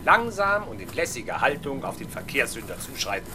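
An actor playing a weary, sardonic middle-aged police inspector in a German radio crime drama, grumbling with dry irony. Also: male voice, medium pitch, sing-song, fairly quick, close to an everyday voice. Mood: dryly ironic. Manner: grumbling, dryly ironic. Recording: faint background noise.

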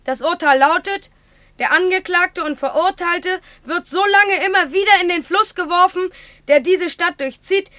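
A woman's voice: very high voice, moderate pace, bright, forceful tone, no echo. A woman playing a stern, pompous child who formally reads out a court record in a German radio play. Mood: officious, stern. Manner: officious, formal recitation.

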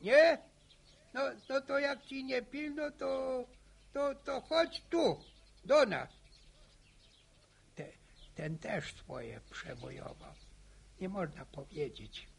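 An actor playing a talkative, teasing old peasant with a creaky voice, halting and repeating his words in a radio drama. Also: extremely high pitch, lively intonation, heavily acted. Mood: lively, teasing. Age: older. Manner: halting, stammering repetitions, slow. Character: talkative old village peasant man, folksy, teasing, bossy.

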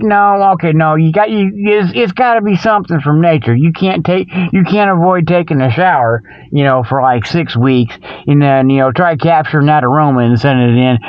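A man hosting a comedy radio show, rambling folksily through mock sales pitches in a scatterbrained way and often losing his thread. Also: no room sound. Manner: scatterbrained, loses his thread, chuckles at his own jokes; folksy, colloquial, checks in with "okay". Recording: dull lo-fi radio sound.

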